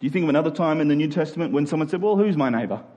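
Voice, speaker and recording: Male pastor, slightly high voice, talks a congregation through scripture conversationally with rhetorical questions. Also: expressive, rapid-fire, dark tone, muffled recording. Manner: asks rhetorical questions with check-in tags like "right?" and "okay?". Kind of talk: conversational expository sermon.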